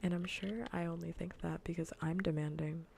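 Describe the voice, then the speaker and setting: Female ASMR streamer: hushed, breathy and gravelly, casual and bluntly teasing, close-miked over faint background noise. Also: on the low side, fairly even intonation, brisk. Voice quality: soft, breathy, often near-whispered. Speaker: livestreamer. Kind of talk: soft-spoken ASMR, casual livestream chat while gaming. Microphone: close ASMR microphone.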